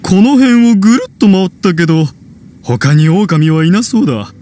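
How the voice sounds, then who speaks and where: A man's voice: high voice, animated, moderate pace, warm tone, a little hiss or hum. An actor playing a smug, accusing townsman in an anime game, mocking and condescending. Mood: casual.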